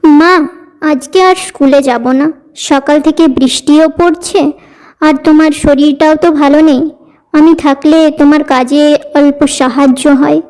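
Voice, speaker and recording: A woman's voice: sits high, fairly even intonation, a little slow, a little mellow, poor audio. A woman voicing a studious, dutiful teenage village daughter in a cartoon story. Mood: concerned.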